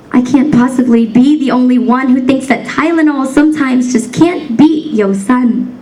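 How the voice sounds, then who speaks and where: A woman's voice: a little high, darkish tone, distant mic, rough recording. A young woman from the United States performing a spoken word poem in a rhythmic, rhyming cadence, emphatic and defiant. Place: banquet hall stage.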